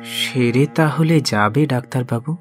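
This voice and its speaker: An actor playing a despondent, ailing husband in a radio drama, plaintive in manner. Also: male voice, medium pitch, a little slow, soft background music, lightly acted. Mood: dejected.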